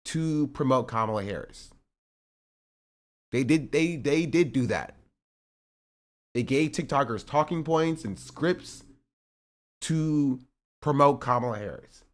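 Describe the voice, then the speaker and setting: A man in his twenties streaming, rambling through casual political commentary with sarcastic asides and self-corrections. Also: mid-range voice. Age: 20s.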